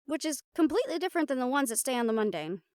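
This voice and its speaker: A woman's voice: high-pitched, very animated, very fast, no echo, studio quality. A woman playing a confident, playful siren-banshee warrior in a conversational audio roleplay, talking intimately to the listener. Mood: proud, amused.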